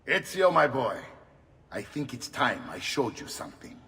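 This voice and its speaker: An actor playing a solemn older Italian uncle and assassin mentor in a game, with an Italian accent and a weighty, paternal storytelling manner. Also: male voice, medium pitch, very animated, moderate pace, sharp tone, reverberant, in a large hall, far from the mic, slight background noise. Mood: solemn, proud.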